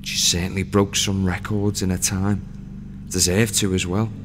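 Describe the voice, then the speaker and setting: A man in an audio play, playing a dead ship's crewman who is a husband and father, brooding and bitter in a slow monologue. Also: low voice, level tone, background noise, lightly acted. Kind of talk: scripted dramatic monologue. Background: music.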